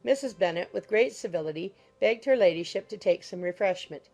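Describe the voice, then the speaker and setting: A woman's voice: medium pitch, animated, a little fast, creaky, darkish tone, faint music behind. A woman reading scripted literary narration as an audiobook narrator.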